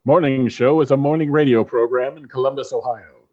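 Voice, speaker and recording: A man's voice: medium pitch, fairly even intonation, brisk, lo-fi sound, loud music behind the voice. A man reading scripted narration as the announcer of a comedy radio play.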